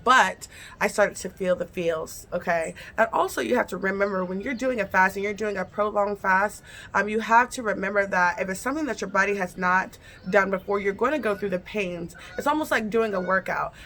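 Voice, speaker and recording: A woman's voice: mid-range voice, a little fast, fairly bright tone. A woman vlogger casually telling a personal story, chatty and repetitive, speaking straight to her viewers. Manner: chatty, repeats phrases, addresses viewers directly.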